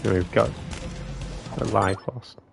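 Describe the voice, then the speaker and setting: A man's voice: takes it slowly, vocal fry, faint music behind. A man, casually chatting with his live-stream viewers while gaming, playful and jokey. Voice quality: deep voice. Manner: playful, jokey, bursts into silly singing.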